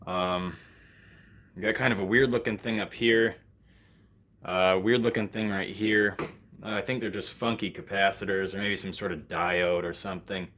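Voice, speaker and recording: A man's voice: low-pitched, steady tone, moderate pace, a bit bright, a little hiss or hum. A man giving casual, unscripted, hands-on commentary as a vlog host, rambling and self-deprecating, recorded in a basement workspace. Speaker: host of an electronics repair vlog.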